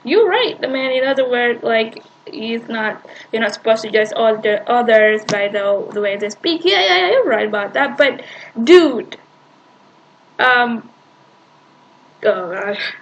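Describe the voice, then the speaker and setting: A woman's voice: a little high, animated, unhurried, dull audio, a little hiss or hum. A woman reacting to a video she is playing, arguing back at it in an exasperated, rambling way with sighs and restarts.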